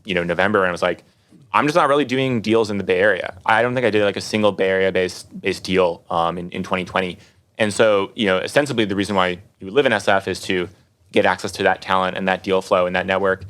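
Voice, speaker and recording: Low-voiced, very fast-talking man. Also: steady tone, a bit bright. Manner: hesitant, repeats words, says "you know" often. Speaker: startup investor on a panel. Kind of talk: casual panel discussion.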